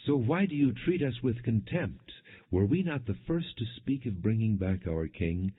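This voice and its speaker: Guy narrating as quarrelsome proud tribesman in bible audiobook, declamatory, low and a bit husky. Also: expressive, moderate pace. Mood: indignant. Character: indignant men of Israel, proud and quarrelsome. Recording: dull, muffled lo-fi audio.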